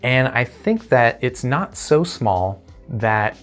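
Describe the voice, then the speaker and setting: A man's voice: mid-range voice, expressive, slow, soft background music. A man giving a casual product review as host, conversational and thinking aloud as he goes. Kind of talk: casual unboxing and review talk.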